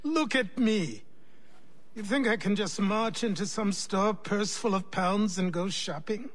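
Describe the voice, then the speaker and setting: An actor playing a weary, gruff old mentor in a video game, a curt retired assassin who sighs and lectures. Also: male voice, sits high, unhurried, no echo. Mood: weary, scornful. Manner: sighing, curt, dismissive, then instructive. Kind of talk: dramatic video game cutscene acting.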